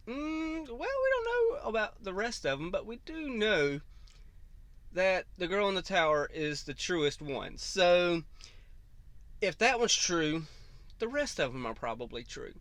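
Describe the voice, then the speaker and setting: A man's voice: on the high side, very animated, slow pace, a bit bright, no room sound. A man giving casual reaction commentary as a video host, musing and hesitant, trailing off.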